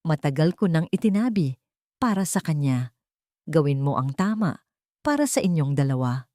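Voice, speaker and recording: Woman voicing a gentle, cautious old man. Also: low voice, sing-song, moderate pace, no room sound, studio quality. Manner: soft, careful speech. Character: gentle, cautious elderly father-in-law.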